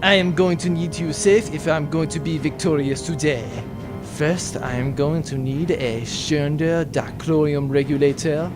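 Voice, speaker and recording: A man's voice: on the high side, fairly even intonation, unhurried, music in the background, lightly acted. A man playing a fussy, geeky spaceship engineer in a comic audio drama. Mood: indignant.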